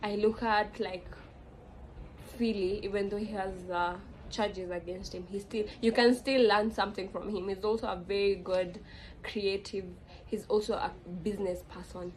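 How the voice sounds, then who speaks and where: Young Kenyan woman, casual vlog monologue, meandering with fillers, medium pitch, light hiss behind. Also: steady tone, normal speed, rough recording. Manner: rambling, frequent fillers and self-corrections. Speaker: vlogger.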